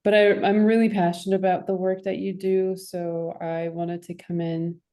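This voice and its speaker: A woman's voice: on the low side, flat delivery, moderate pace, dark tone. A woman introducing herself on a video call as a tech consultant starting a coaching business, rambling with fillers.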